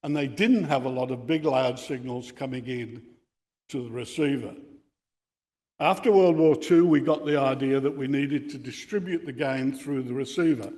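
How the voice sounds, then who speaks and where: A man's voice: medium pitch, darkish tone. Older Australian man in a reverberant hall. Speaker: amateur radio club speaker. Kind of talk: technical lecture to radio enthusiasts. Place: indoor meeting room with equipment on a bench. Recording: reverberant hall or meeting room.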